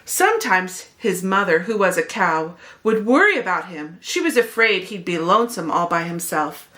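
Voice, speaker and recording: A woman's voice: on the low side, very animated, normal speed, pushed and bright. A woman narrating a children's picture book expressively, as a read-aloud host speaking to young listeners.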